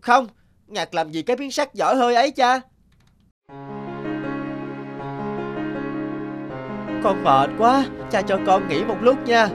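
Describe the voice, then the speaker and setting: An actor voicing a lazy, complaining young peasant son in an animated folk tale, with a whiny, grumbling manner. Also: mid-range voice, normal speed, lightly acted. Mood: tired, complaining. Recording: loud music under the voice.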